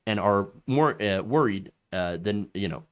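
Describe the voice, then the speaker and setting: A man hosting a casual political commentary, reading quotes and giving his opinion with many fillers and restarts. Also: a little slow. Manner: lively pitch with frequent fillers and restarts; reads quotes aloud with raised pitch.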